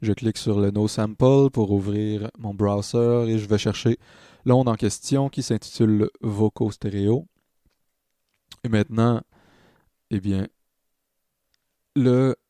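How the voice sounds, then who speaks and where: A man narrating a step-by-step software tutorial as a tutor, hesitant and thinking aloud as he demonstrates. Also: on the low side, steady tone, takes it slowly, long pauses, no room sound, clean studio sound.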